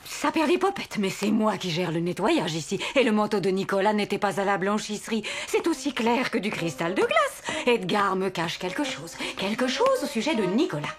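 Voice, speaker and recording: An actress playing a bossy, suspicious female Christmas elf in a French cartoon, brisk and assertive. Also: medium pitch, big pitch swings, lightly acted. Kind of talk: French animated series dubbing.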